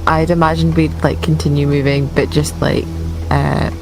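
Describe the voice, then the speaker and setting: A woman with a notably deep voice talks casually as a player at the table, peppering her speech with "like". Loud music runs underneath her. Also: level tone, moderate pace, gravelly, low-quality recording. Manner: casual, "like" fillers.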